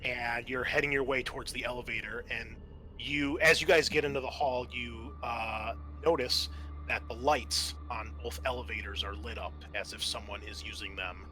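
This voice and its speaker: A man acting as game master, improvising halting narration for a tabletop roleplaying game. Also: mid-range voice, steady tone, sharp tone, faint music behind, poor audio.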